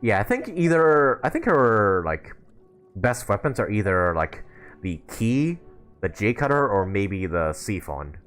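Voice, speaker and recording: A man's voice: fairly low pitch, very animated, measured pace, fairly bright tone, soft background music. A young man giving casual live stream commentary on a game, informal and thinking aloud as he plays.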